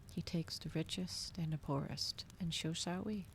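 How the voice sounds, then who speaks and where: A woman doing a soft, whispered ASMR reading as a streamer, slow and breathy, in a hushed manner. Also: sits low, a little hiss or hum. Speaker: streamer host reading aloud.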